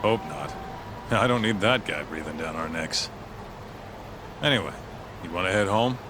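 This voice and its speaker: A man's voice: low voice, lively intonation, moderate pace. A man playing a streetwise, laid-back sidekick in a video game, speaking in a breezy, casual way. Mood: casual curiosity. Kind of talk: naturalistic video game dialogue. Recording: clear city street noise behind.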